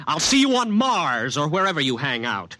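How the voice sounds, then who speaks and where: A man's voice: a little high, big pitch swings, moderate pace, bright, forceful tone, no echo, full character voice. An actor in a 1950s radio drama playing an exasperated, skeptical city man with a wry, incredulous manner. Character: exasperated, skeptical New York man plagued by alien protector. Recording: vintage 1950s radio recording.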